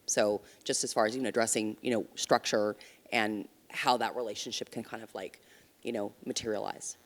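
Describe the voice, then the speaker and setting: A woman's voice: a bass voice, fairly even intonation, moderate pace. A woman, a marketing and communications mentor, talking on a panel with many ums and restarts. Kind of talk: casual panel talk. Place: conference stage. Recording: reverberant hall.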